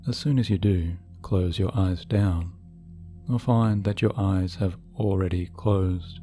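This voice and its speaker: A man narrating a scripted hypnotic guided meditation in a soothing, measured, deliberate manner. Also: deep voice, steady tone. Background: soft background music.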